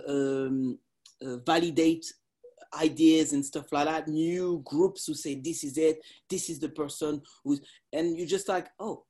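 A man in his forties with a notably high, light voice, talking in loose, animated English. He laughs often and reaches for words as he goes. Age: 40s. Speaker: parkour practitioner. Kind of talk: casual conversation.

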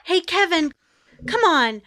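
Woman doing table read dialogue, high voice, swings up and down a lot. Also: very animated, slow pace, bright, forceful tone, no room sound, studio quality. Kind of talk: sitcom script read-aloud.

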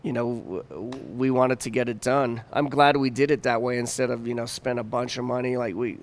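A man's voice: medium pitch, steady tone, brisk. A man in his fifties chatting casually as an interview guest, with informal, slangy talk. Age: 50s. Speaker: chef and restaurant owner, interview guest. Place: downtown Denver venue.

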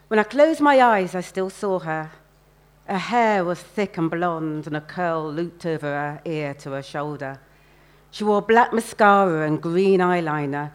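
A woman, an author reading first-person fiction aloud expressively at a live event. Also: fairly low pitch.

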